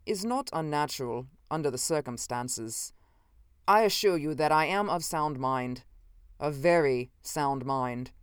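A man playing a gaunt, intense scientist from another time, unnerved and cruel, speaking slowly and commandingly. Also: fairly high pitch, smooth, no echo, studio quality, natural voice. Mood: insistent. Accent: odd, unfamiliar. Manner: slow, deliberate, commanding.

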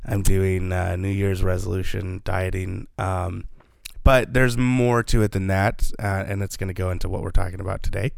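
Sick man with a gravelly voice. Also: low voice, level tone, moderate pace, few pauses, dry recording. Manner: rambling, lots of fillers and restarts. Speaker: podcast co-host. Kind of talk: casual chat.

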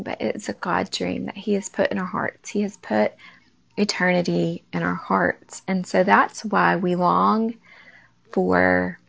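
A woman hosting a faith-based talk, speaking conversationally to her listeners with a raspy early-morning voice. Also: fairly low pitch. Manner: warm conversational, addresses listeners directly. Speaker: podcast host. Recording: dull lo-fi recording.

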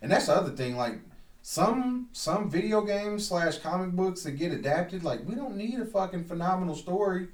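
A man's voice: sits high, animated, moderate pace, recorded from a distance. Blunt swearing man in echoey room. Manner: blunt, opinionated, swears freely. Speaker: podcast co-host. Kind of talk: casual profane chat.

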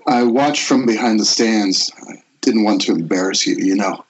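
A man playing a gruff, middle-aged father, awkward with feelings, in an amateur script table read. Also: on the low side, moderate pace, natural voice. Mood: awkward, tender. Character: Alice's gruff, awkward father, a recovering drinker. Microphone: Skype call. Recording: dull lo-fi internet call.

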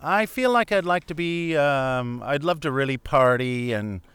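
Middle-aged male podcast guest, digressive casual talker, slightly high-pitched, clean smooth tone. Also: expressive, a little slow, fairly bright tone, no echo. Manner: rambling, digressive. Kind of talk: casual chat.

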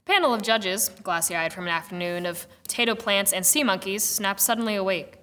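A woman's voice: mid-range voice, a little fast, pushed and bright, close to an everyday voice. A woman narrating a radio drama in a wry, theatrical storybook manner. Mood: wry, storytelling. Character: wry storyteller narrating a girl inventor's tale.

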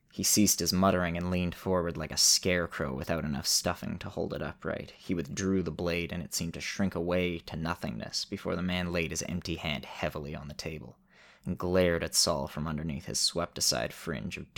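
Male fantasy narrator, low register, dramatic scripted delivery, deliberate phrasing and weighted pauses. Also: creaky.

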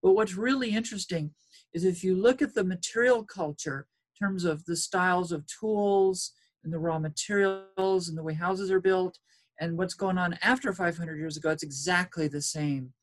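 A woman archaeologist as an interview guest, explaining her research in a measured way with some hesitations. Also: fairly low pitch. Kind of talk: expert interview answers.

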